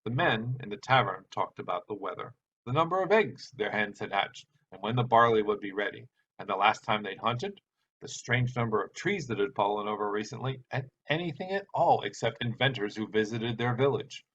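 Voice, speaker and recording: A man's voice: on the low side, expressive, a little fast, fairly bright tone. A man introducing and reading his own short story aloud as narrator. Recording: dull sound with background hiss.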